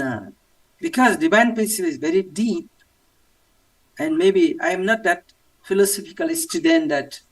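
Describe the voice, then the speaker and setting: A man from Nepal with a Nepali accent, giving a personal testimony in halting English with many fillers. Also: sits high, fairly bright tone, distant mic. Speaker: church member giving testimony.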